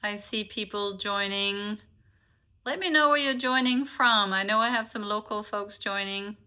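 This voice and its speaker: A woman from Denmark whose first language is Danish leads an informal live session. Her voice is very bright and forward, at a middling pitch. She speaks to attendees directly, welcoming them and explaining as a teacher does. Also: measured pace, smooth. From Copenhagen, Denmark. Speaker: author and meditation teacher hosting a live session. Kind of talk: informal live online talk and guided teaching.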